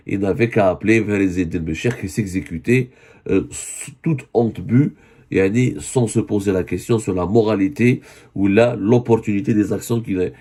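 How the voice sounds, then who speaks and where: A man with a voice hoarse from a cold, giving an earnest spoken news commentary and often correcting himself. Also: fairly low pitch, warm tone. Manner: earnest, with many self-corrections.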